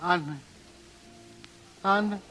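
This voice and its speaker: A man's voice: sits high, takes it slowly, sharp tone, exaggerated voice, rough recording. An actor playing a simple, affectionate old seaman in a 1930s radio drama. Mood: moved, shy. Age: older. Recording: vintage 1930s radio broadcast.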